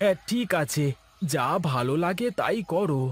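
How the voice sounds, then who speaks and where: A man's voice: fairly high pitch, big pitch swings, a little slow. A man voicing a plain-spoken village farmer and husband in a cartoon, in a conversational way. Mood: friendly, practical. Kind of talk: cartoon voice acting.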